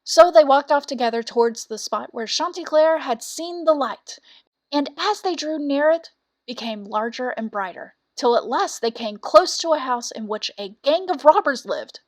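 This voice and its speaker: A woman's voice: sits high, expressive, normal speed. A woman reading a fairy tale as a storyteller, in scripted narration.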